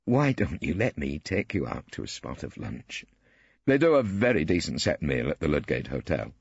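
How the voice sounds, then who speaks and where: A man's voice: a bass voice, big pitch swings, normal speed, creaky, husky, no room sound, lo-fi sound, voice pushed down, heavily acted. A man voicing an awkward, self-important male barrister, fussy and bumbling. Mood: ingratiating. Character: awkward, pompous middle-aged male barrister.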